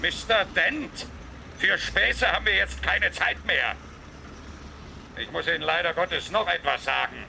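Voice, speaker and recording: Officious male bureaucrat for radio comedy: piercing, creaky, pedantic and exasperated, faint noise behind. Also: sits high, unhurried, long pauses, sharp tone, full character voice. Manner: bureaucratic, repetitive, hesitant. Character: pedantic, officious, exasperated council bulldozer foreman. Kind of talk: German radio comedy drama.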